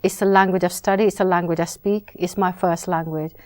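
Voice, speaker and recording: A woman novelist from Britain, answering interview questions in a measured, reflective way with some restarts. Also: fairly low pitch, fairly even intonation, darkish tone.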